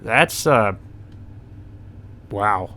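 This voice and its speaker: A man's voice: on the low side, lively intonation, very slow, sharp tone. A man doing a casual let's play, reading story text aloud and adding informal, sweary asides. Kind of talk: casual commentary and read-aloud narration.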